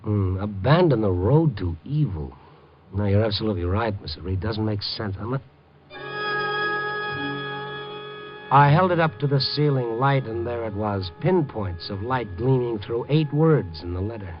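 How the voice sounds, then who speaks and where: An actor playing a streetwise American newspaper reporter who acts and narrates in a 1950s radio crime drama, wry and brisk. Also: male voice, on the low side, animated, soft background music, lightly acted. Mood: thoughtful. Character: hard-boiled male newspaper reporter, wry, wary, streetwise. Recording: muffled old radio recording.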